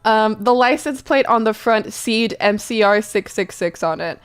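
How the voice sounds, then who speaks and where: Woman co-reader for comic dramatic reading and banter, unhurried delivery, music underneath. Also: a little high, clean tone. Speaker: co-reader on a live stream.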